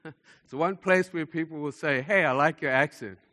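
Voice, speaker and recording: A man's voice: a little high, normal speed. A man preaching a sermon in an emphatic manner, repeating phrases for effect as a preacher to a congregation. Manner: emphatic, repeats phrases for effect, swings between hushed and forceful delivery. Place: large hall, speaking to a congregation. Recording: echoey hall with long reverb.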